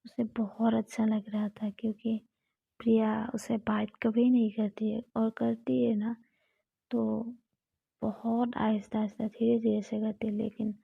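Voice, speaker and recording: Woman whispering a slow romantic story. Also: a little high, vocal fry, husky, no room sound. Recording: dull lo-fi recording.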